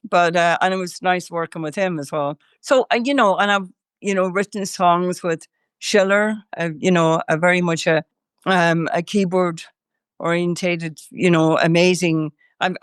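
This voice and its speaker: A woman's voice: on the low side, clear, resonant tone, no echo, clean studio sound. An older woman from Ireland, a singer interviewed as a guest, talking in a rambling way with many fillers and restarts while chewing gum. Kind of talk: casual interview chat.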